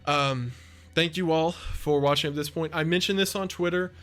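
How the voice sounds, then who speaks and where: A man's voice: fairly high pitch, fairly even intonation, normal speed, a bit bright. A man giving casual off-the-cuff video commentary, chatty, effusive and enthusiastic as a video creator. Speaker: video essay creator and narrator.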